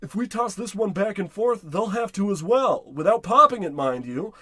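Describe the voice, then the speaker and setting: A man's voice: high voice, lively intonation, moderate pace, pushed and bright, dry recording, clean studio sound, heavily acted. An actor playing a brash, dim-witted, bossy salesman in a parody of old radio drama, blustering through muddled tough talk. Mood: earnest, bossy.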